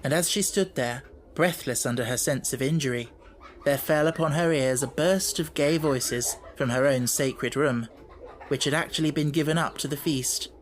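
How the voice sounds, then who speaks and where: A man's voice: fairly high pitch, normal speed, low-quality recording. Male narrator for classic fiction audiobook, scripted literary reading, slight background noise.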